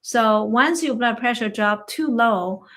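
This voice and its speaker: A woman acupuncturist, a non-native English speaker whose first language is Chinese, chatting in a slow, halting way about health, often telling stories about patients. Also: on the high side, a bit bright. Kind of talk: casual health chat. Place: livestream conversation.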